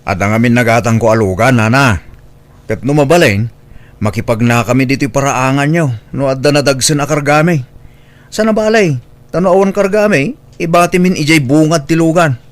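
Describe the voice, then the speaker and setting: A man's voice: medium pitch, moderate pace, lightly acted. A man with an Ilocano accent playing a mild, appeasing villager in a comedy drama. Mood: pleading, deferential. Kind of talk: Ilocano comedy radio-style drama acting.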